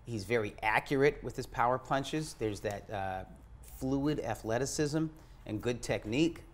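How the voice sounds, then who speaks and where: A man giving casual sports analysis as a panelist, thinking his points through in a halting, analytical way. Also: medium pitch, slight background noise. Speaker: boxing magazine editor, panelist.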